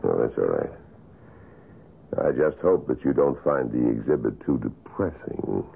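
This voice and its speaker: Very deep, gravelly museum storyteller. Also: male voice, expressive, measured pace, heavily acted. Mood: somber, polite. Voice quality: gravelly, husky. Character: grave, somber male wax museum employee recounting a murder. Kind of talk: 1970s radio drama acting. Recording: tinny, narrow-band old radio broadcast.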